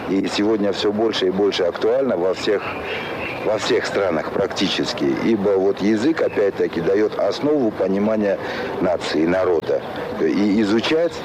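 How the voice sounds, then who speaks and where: Man, measured and reflective. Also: low voice, animated. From Tajikistan. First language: Tajik. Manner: measured, reflective, with frequent pauses and fillers. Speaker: interviewee, philologist and translator. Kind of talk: thoughtful interview answers. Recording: noisy outdoor street recording.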